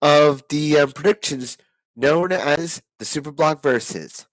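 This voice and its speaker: A hoarse man giving casual, rambling wrestling predictions on his own channel, with many restarts and fillers. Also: fairly high pitch, a little slow, no room sound, low-quality recording. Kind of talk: casual solo predictions talk.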